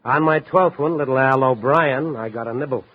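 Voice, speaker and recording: A man's voice: mid-range voice, natural voice. Hard-boiled 1950s radio reporter, acted by a man. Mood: brooding, wry. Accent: American. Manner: dry, measured, hard-boiled storytelling. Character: shrewd, wry night-beat newspaper reporter, adult man. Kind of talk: 1950s radio drama acting and narration. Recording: dull vintage radio recording with music.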